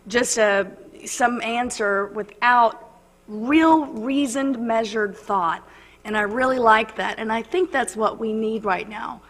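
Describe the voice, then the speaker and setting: A woman on a city council, slightly hoarse, earnestly making her case point by point at a meeting. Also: fairly high pitch, a bit bright. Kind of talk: formal remarks at a council meeting. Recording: long reverb of a council chamber.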